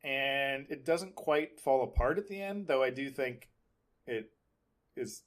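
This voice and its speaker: Man, medium pitch, podcast host, casual conversation, rambling, hesitant phrasing. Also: animated, measured pace. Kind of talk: casual film and TV chat.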